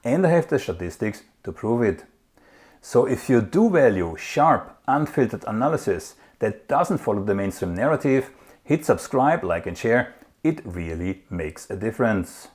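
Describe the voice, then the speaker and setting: A man's voice: lowish voice, very animated, moderate pace, darkish tone, breathy edge. A man hosting a political interview, making an earnest, emphatic appeal to his viewers before questioning his guest. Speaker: interview host. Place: in the Netherlands.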